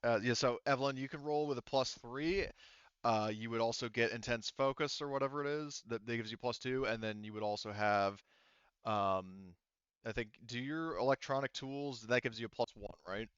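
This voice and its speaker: A man casually running a tabletop roleplaying game as game master, often thinking out loud. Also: medium pitch, moderate pace, smooth, dry recording, dull audio.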